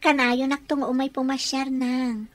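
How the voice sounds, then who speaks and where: A woman playing a caring, practical young bride-to-be in a radio drama, solicitous and gently insistent. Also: sits high, little pitch movement, moderate pace, lightly acted. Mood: gently reassuring.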